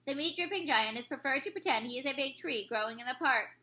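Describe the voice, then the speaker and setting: Woman as a kindly giant, bright and earnest. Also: sits high, animated, fast talker. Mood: explaining. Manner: muddled grammar and invented words. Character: kindly gentle male giant who explains things earnestly. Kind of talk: children's storybook read-aloud.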